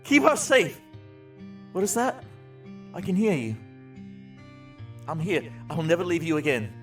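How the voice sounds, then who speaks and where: An actor playing a tormented, grief-stricken man descending into madness in an audio drama, distraught and halting, with formal wording. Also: high-pitched, very animated, a bit bright, faint music behind, heavily acted. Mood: frantic, anguished.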